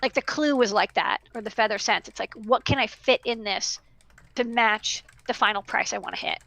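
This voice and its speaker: Casual female livestream explainer, thirties, higher-pitched with marked vocal fry, emphatic, close dry headset sound. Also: normal speed, fairly bright tone, dry recording. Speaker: electronics business owner giving advice. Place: small indoor room.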